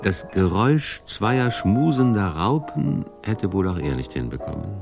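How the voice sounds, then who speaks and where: A man's voice: deep voice, big pitch swings, a little slow, vocal fry, music in the background. A man reading scripted narration for a radio documentary.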